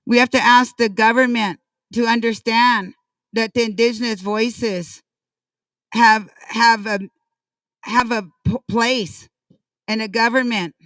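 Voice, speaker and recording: Woman leading a ceremony, earnest and repetitive, smooth clear voice, mic well off. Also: a little high, steady tone, takes it slowly, a bit bright, no echo. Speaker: ceremony speaker. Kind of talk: ceremonial speech. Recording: distant dry pickup.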